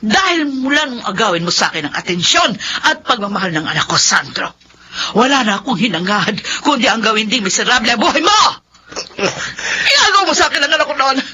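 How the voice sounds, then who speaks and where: A vengeful older grandmother in a radio melodrama. Her voice is sharp and forceful and swings widely as she scorns and accuses. The broadcast is a little noisy. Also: on the high side, sing-song, a little fast. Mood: bitter, vengeful. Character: vengeful older grandmother, bitter and domineering toward her son-in-law. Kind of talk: melodramatic radio drama acting. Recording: muffled radio broadcast with background noise.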